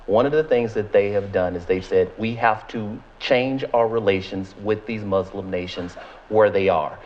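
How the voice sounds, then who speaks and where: Combative debater firing rhetorical questions. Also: male voice, on the low side, normal speed, darkish tone, muffled recording. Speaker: Democratic debate guest. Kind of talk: heated TV political debate.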